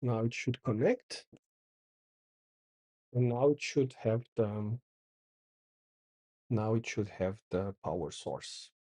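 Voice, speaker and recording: Middle-aged man host, husky and unhurried, talking himself through commands. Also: fairly low pitch, mellow. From Porto, Portugal. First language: Portuguese. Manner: thinks aloud while reading out commands. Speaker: channel host. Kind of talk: casual live coding commentary.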